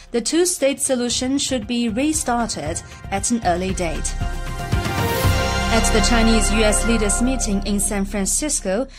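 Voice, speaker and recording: Woman reading news in measured tones. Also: mid-range voice. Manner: measured, formal delivery. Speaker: news podcast host.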